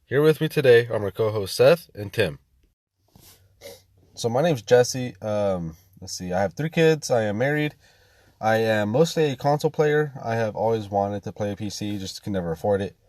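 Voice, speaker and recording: Brief: male podcast host, low mellow voice, dry close sound, hesitant delivery. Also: lively intonation, dry recording. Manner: hesitant, halting with fillers. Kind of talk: casual chat.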